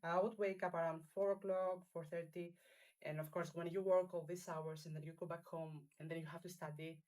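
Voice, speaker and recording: Soft-voiced woman, Greek first language. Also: on the low side, level tone. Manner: hesitant, halting, laughs often. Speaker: trainee. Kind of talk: casual roundtable chat.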